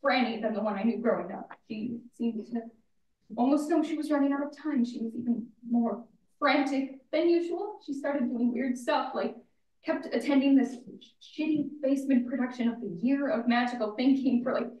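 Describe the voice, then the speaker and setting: An actress plays a raw, grieving grown daughter, a woman with a fairly high voice and a bitter edge. Her delivery is blunt and stammering, in naturalistic stage drama. It is recorded from a little way off in a roomy, echoey space. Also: normal speed, darkish tone, distant mic, slight background noise, natural voice. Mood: subdued, halting.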